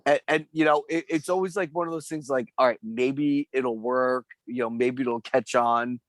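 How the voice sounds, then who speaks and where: A man's voice: medium pitch, moderate pace, no echo. A middle-aged man co-hosting a casual comedic podcast, with a wry, sweary way of talking that circles back on itself. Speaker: podcast co-host.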